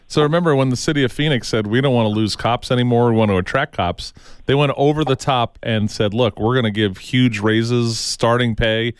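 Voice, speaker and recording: A man's voice: medium pitch, fairly quick, clean tone, dry recording, studio quality. A man hosting a talk radio show, speaking casually and bluntly, with emphatic opinions.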